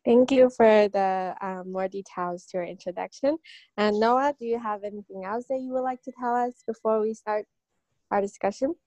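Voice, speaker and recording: A woman's voice: mid-range voice, moderate pace, dark tone, no room sound. Female podcast host, informal and a little hesitant, mid-range voice, close dry sound with dulled lo-fi audio. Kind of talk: introducing guests on a podcast.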